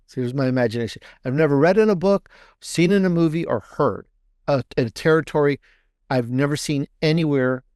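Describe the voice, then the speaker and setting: A man talking casually as a guest author in an interview, halting and restating phrases for emphasis. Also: on the high side, darkish tone, clean tone, no echo, clean studio sound. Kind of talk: casual promotional interview talk.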